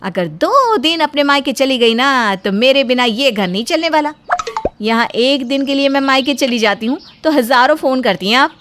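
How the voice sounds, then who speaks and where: A high female voice , a woman acting as a short-tempered young daughter-in-law in a cartoon family story. She scolds sarcastically, sounds wronged and readily threatens. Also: animated, normal speed, fairly bright tone, a little hiss or hum. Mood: aggrieved, threatening.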